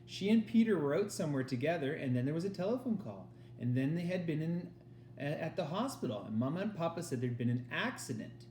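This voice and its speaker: A man's voice: a little high, expressive, fairly quick, a little mellow, a little hiss or hum, pitched above the performer's own voice, close to an everyday voice. Guy reading as earnest kid girl, reassuring best friend in a storybook, echoey room. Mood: somber.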